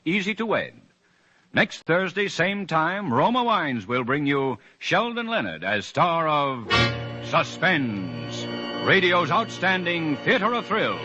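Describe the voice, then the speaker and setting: A man's voice: on the high side, pushed and bright, husky, no room sound. An American man announcing a program in dramatic, scripted 1940s radio style. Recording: dull 1940s radio recording with music.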